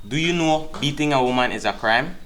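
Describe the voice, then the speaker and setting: A man's voice: medium pitch, animated, fairly quick, a bit bright, a little hiss or hum, lightly acted. A man playing a firm, formal, reproachful police officer in a dramatized scene. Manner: formal, lecturing.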